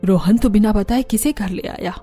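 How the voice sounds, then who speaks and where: A woman voicing a gentle, concerned middle-aged village mother-in-law in a cartoon story, in a fretful, motherly manner. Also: mid-range voice, moderate pace, soft background music, natural voice. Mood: startled, reproachful.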